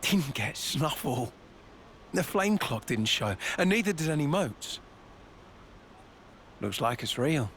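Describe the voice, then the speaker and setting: A man's voice: fairly high pitch, big pitch swings, takes it slowly, pauses often, fairly bright tone, a little hiss or hum, heavily acted. A man voicing a blunt, easygoing colony soldier in a video game, casual and plain-spoken. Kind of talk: video game cutscene dialogue. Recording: game cutscene with music score.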